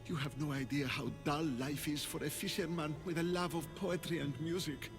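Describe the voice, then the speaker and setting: A man's voice: a little high, fairly even intonation, normal speed, music in the background, close to an everyday voice. An actor playing a weary, frightened captive fisherman with a dreamer's love of poetry, in a video game. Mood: wistful, rueful. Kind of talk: video game character acting.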